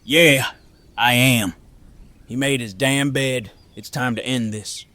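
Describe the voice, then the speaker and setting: An actor playing a gruff, vengeful male survivor in an audio drama, speaking in a terse, folksy, defiant way. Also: mid-range voice, fairly even intonation, slow, sharp tone, no echo. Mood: angry, defiant.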